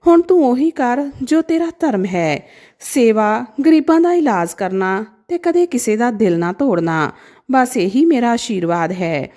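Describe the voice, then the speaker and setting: Woman voicing a gentle, high-pitched old priest. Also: lively intonation, measured pace. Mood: solemn blessing. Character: frail, kindly old male temple priest, loving adoptive father.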